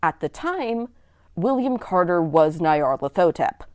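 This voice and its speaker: A woman's voice: lowish voice, sing-song, measured pace, a little mellow, no room sound, studio quality. A woman listener giving articulate, explanatory commentary in a prepared voice message. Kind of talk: prepared fan theory commentary.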